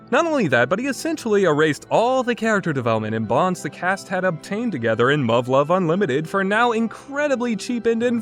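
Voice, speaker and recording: A man's voice: fairly high pitch, a bit bright. A man narrating a scripted review as a critic, blunt, exasperated and opinionated in manner. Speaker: game reviewer.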